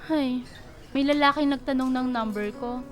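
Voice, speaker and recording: A woman's voice: high-pitched, moderate pace, soft background music, natural voice. An actress playing an affectionate, teasing young college girlfriend in a Filipino radio romance drama. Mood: flustered, annoyed. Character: baby-faced young college woman, playful, affectionate, easily miffed girlfriend.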